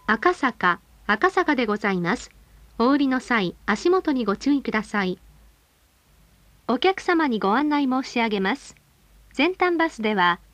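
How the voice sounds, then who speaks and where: A woman with a high voice delivers scripted announcements for bus passengers. Her delivery is formal, polite and carefully measured. The sound is dry, with no room echo around her. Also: fairly bright tone.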